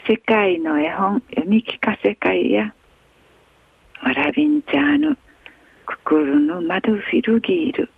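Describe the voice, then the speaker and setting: Woman, Okinawan accent, slow measured news reading, gravelly voice, tinny radio sound. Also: mid-range voice, lively intonation, no echo.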